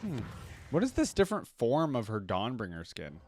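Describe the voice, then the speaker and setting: A man's voice: medium pitch, wildly swooping pitch, moderate pace, darkish tone. A man giving casual reaction commentary on game voice lines, musing and thinking aloud. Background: game music and voice clips.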